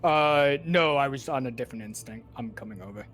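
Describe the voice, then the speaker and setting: A man's voice: mid-range voice, a little fast, a bit bright. A man chatting casually with fellow players over gaming voice chat. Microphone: online voice chat.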